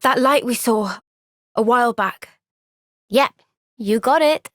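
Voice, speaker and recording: A woman playing a young, thoughtful and caring warrior heroine in a video game, speaking in a measured, earnest, gentle way. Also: on the high side, no room sound, clean studio sound. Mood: conversational. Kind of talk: video game cutscene voice acting.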